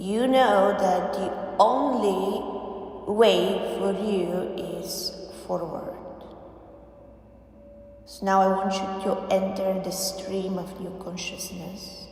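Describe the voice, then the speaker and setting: Slow, echo-drenched woman guiding a meditation. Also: medium pitch, darkish tone. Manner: deliberate phrasing with frequent pauses. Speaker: guided meditation leader. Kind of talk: guided meditation narration. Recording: very echoey, long reverb, faint music. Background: faint background music.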